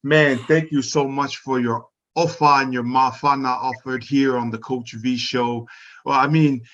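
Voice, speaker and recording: A man's voice: mid-range voice, fairly even intonation, unhurried, fairly bright tone. A man hosting a radio-style interview show, speaking in an effusive, gracious manner. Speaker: show host. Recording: dull lo-fi audio.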